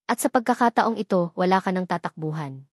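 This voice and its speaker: A woman reading a calm, controlling husband. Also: lowish voice, no room sound, clean studio sound. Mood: calm menace. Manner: calm, measured, quietly menacing. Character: cold, controlling young husband, calm and commanding.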